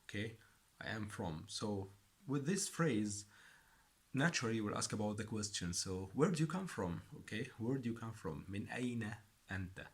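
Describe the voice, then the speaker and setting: A man's voice: lowish voice, expressive. Patient man repeating phrases. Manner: slow and patient, repeats phrases several times. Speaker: Arabic teacher. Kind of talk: language lesson for beginners.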